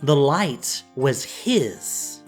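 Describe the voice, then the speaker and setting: A male narrator reads scripted fantasy with drama. His delivery is slow and deliberate, with weighty pauses, at a medium pitch. Soft music plays faintly behind him. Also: sing-song.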